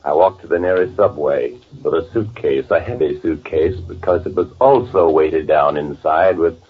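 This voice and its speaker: An actor playing a bitter, gloating murderer who poses as his twin, confessing in halting first-person 1940s radio drama narration. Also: a bass voice. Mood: grim, matter-of-fact. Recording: tinny vintage radio recording with music.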